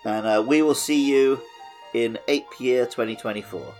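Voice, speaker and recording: Man, podcast host, medium pitch, casual chat, music behind him. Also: normal speed, darkish tone.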